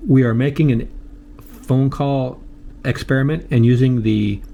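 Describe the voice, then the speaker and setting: An older American man giving a casual, unscripted tutorial in a halting, plain-spoken way, often repeating himself. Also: medium pitch, gravelly, warm tone, lo-fi sound, slight background noise. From United States. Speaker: host of a how-to video channel.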